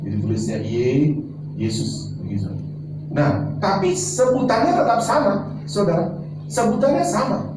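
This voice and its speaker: A man preaching a sermon as pastor, teaching and putting questions to the congregation. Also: mid-range voice. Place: large church hall. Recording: echoey church, far from mic, noisy.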